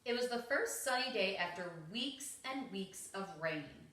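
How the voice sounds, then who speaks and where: A woman's voice: mid-range voice, expressive, a little slow, pushed and bright. A woman reading a children's story aloud, expressively and with dramatic emphasis. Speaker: storybook reader. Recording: roomy, echoing home recording.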